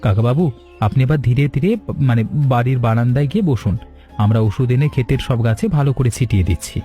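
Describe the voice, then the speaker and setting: A man's voice: mid-range voice, fast, dark tone, lightly acted. A man playing an earnest, dutiful and caring village farmer in a cartoon, speaking respectfully. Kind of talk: cartoon dialogue acting. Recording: faint music under voice. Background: faint music.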